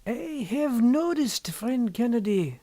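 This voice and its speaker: A man voicing a sly, impassive, secretive scholar in an audiobook story, with measured, deliberate and formal phrasing. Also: very high-pitched, lively intonation, dark tone, no room sound, voice pushed up, heavily acted. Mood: sly, probing. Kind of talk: audiobook character dialogue.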